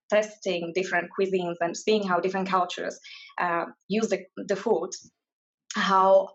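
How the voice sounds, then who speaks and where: A woman's voice: fairly low pitch, dull audio. A woman from Slovakia with a Slovak accent, a coach answering interview questions thoughtfully with plenty of fillers and restarts. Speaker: guest, mindfulness and career coach.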